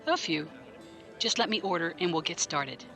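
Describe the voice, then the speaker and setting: A man's voice: fairly high pitch, animated, moderate pace, pushed and bright, soft background music. A man acting a probing, blunt military defense lawyer in a science fiction audio drama, pointed, articulate and wryly skeptical. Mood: stern, warning.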